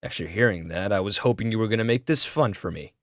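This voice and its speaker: A man playing a scowling, curt demigod brawler in an audio drama, gruff and unimpressed. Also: on the low side, fast, fairly bright tone, dry recording, clean studio sound, natural voice. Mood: coolly menacing. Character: scowling, gruff, bored male brawler demigod itching to fight.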